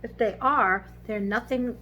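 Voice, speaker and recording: A woman's voice: on the high side, a little slow, bright, forceful tone, lo-fi sound, slight background noise. A woman voicing a bored, unimpressed panther in a storybook reading.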